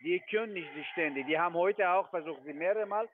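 A man's voice: sits high, a little fast, a little hiss or hum. A half-Italian man working as a trading firm agent, pushing insistently in broken German over the phone. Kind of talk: phone call. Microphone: telephone. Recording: tinny phone line.

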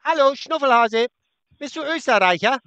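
A man with a very high voice mocks a scammer on the phone, taunting and throwing insults while he talks over him. His tone is bright and sharp. The sound is close and dry. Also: animated, moderate pace, clean tone, dry recording, lo-fi sound. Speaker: prank caller baiting a scammer. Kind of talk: mocking phone prank.

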